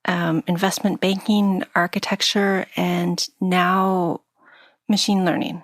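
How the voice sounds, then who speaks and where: A woman's voice: on the low side, little pitch movement, slow pace, dry recording, clean studio sound. A woman co-hosting a podcast, asking questions in a playful, easy-laughing way. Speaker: podcast co-host. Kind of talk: casual interview chat.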